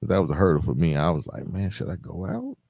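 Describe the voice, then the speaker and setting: A man's voice: low-pitched, wildly swooping pitch, quick pace, creaky, warm tone, husky, no echo, studio quality. A middle-aged man hosting a podcast, talking informally and directly to his listeners in a conversational solo monologue. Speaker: podcast host.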